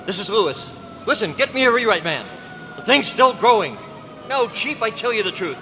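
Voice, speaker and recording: Man playing an agitated, very high-pitched reporter. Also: sing-song, normal speed, sharp tone, exaggerated voice. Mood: urgent alarm. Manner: urgent, insistent, pleading, as if on telephone. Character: agitated newspaper reporter insisting on an incredible story. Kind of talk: old-time radio drama acting. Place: hotel convention meeting room, live performance. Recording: noisy live convention room recording.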